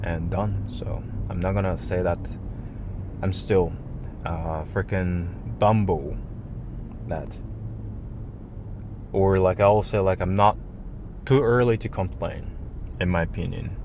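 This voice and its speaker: A man's voice: deep voice, slow, long pauses, darkish tone, a little hiss or hum. A man whose first language is Japanese rambles casually to his vlog audience in slangy, non-native English. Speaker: vlogger.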